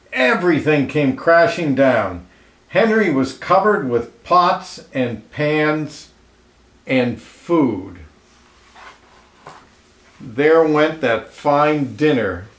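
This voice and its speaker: A man reading a children's picture book aloud expressively, pausing to comment and ask listeners questions, in an echoey room. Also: a little high. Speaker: storybook reader. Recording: roomy, echoey indoor sound.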